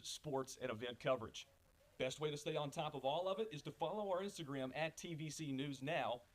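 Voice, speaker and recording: A young man reading an upbeat scripted promo for a student channel. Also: on the high side. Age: young adult. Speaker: station promo announcer.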